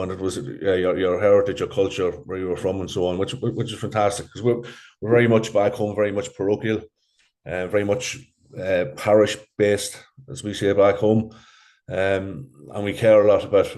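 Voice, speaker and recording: Irish guy, middle-aged coach, lots of ums and restarts, kinda deep mellow tone. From Ireland. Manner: hesitant, many ums and repeated words. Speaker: rugby coach. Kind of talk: interview answers.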